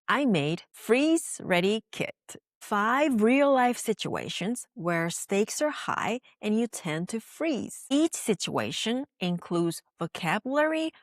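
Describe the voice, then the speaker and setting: Woman, Japanese accent, language teacher, scripted explanatory delivery, animated intonation, dry recording. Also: a little high, dry recording. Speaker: language teacher and show host.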